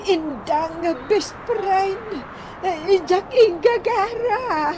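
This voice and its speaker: An actress playing an apologetic, panicky wife in debt trouble, whining and pleading in a radio comedy drama. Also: female voice, very high-pitched, very slow, crowd noise, heavily acted. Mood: pleading, remorseful.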